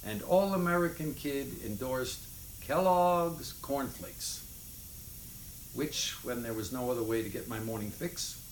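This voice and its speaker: A man giving an expressive, emphatic reading of humorous memoir prose. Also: mid-range voice. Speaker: reader of a memoir chapter. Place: indoors in a city apartment. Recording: echoey room, faint background noise.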